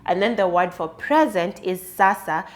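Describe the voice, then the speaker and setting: A woman talks alone on her podcast, working through an idea in an explanatory, conversational manner. Her voice sits at a middling pitch for a woman, and her tone is thoughtful and easygoing. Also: animated, normal speed. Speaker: podcast host. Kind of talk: solo reflective podcast monologue.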